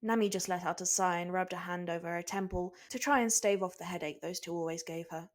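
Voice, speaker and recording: A woman's voice: on the low side, steady tone, brisk. A woman reading scripted fiction aloud as a podfic narrator.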